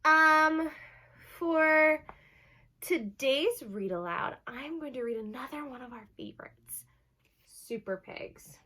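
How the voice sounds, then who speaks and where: Female primary teacher, slightly high voice, storybook read-aloud with simple explanations and questions for young listeners. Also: animated, takes it slowly, bright, forceful tone.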